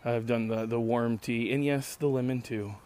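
A hoarse man in his late thirties, losing his voice, rambling sardonically and swearing freely in a casual solo podcast monologue recorded outdoors in a park. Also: medium pitch, fairly even intonation, moderate pace, a little mellow. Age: late 30s. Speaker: podcast host.

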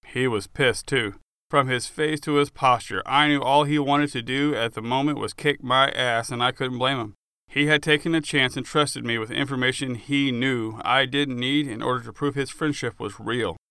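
A man's voice: mid-range voice, steady tone, moderate pace, sharp tone, clear, resonant tone, dry recording, clean studio sound. A man reading first-person fiction as an author-narrator, with dramatic storytelling delivery. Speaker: author narrating own audiobook.

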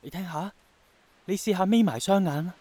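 An actor playing an idealistic, gentle young man in a radio drama, reflective and earnest, with a Hong Kong accent. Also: sits high, normal speed, dry recording. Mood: wistful, earnest. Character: idealistic, persistent young man longing for rain to return.

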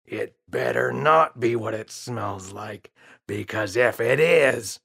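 A man doing a gruff, impatient dad. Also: medium pitch, slow pace, fairly bright tone, heavily acted. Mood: irritated, scolding. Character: grumpy, dismissive TV-watching father.

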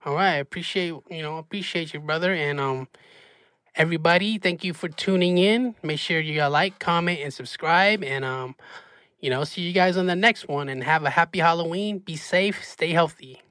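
A man's voice: a little high, normal speed, a bit bright, clear, resonant tone, no room sound, studio quality. A man hosting a casual podcast chat, laid-back and laughing often, with lots of fillers. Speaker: podcast host.

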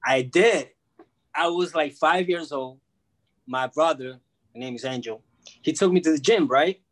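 A man's voice: fairly high pitch, unhurried. A man chatting casually as a guest, telling personal stories in slangy, repetitive street talk. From born in Peru, grew up in the United States from age two. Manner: slangy, peppers talk with bro, man and you know what I mean. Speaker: guest, freelance sports media journalist.

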